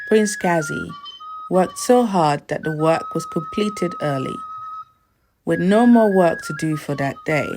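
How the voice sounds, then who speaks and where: A woman reading a scripted folktale as a storyteller, in a measured storybook delivery. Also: fairly low pitch.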